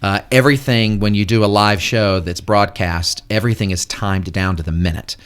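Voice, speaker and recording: A man with a very low voice explains things articulately as a guest on a radio show. He sounds organized and at ease, like a school head laying out how things work. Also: steady tone, moderate pace, a bit bright, clear, resonant tone. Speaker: head of school and Rotary Club board member. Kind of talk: casual radio interview talk. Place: radio studio.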